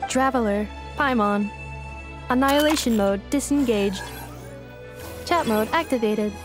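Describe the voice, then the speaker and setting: Game role: woman as literal, courteous female android, stilted and emotionless, slightly high register, music underneath. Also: unhurried, lightly acted. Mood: flat, inquisitive. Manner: stilted, formal, emotionless robotic phrasing. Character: polite literal-minded female robot relearning how to converse. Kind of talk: scripted video game dialogue.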